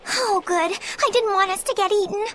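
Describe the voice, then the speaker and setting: A woman's voice: very high voice, moderate pace, fairly bright tone, a little hiss or hum. A woman voicing a frightened little village girl who stammers nervously in a game. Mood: scared.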